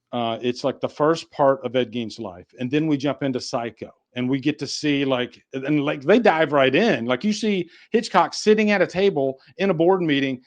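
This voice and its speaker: Casual male podcaster, mid-range voice, conversational and meandering, easy with profanity. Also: mid-range voice. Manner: rambling, conversational, swears casually. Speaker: podcast host.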